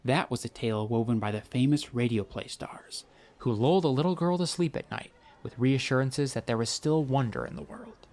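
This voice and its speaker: A man reading scripted fiction as an audiobook narrator, with a wry, expressive storytelling manner. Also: mid-range voice, normal speed.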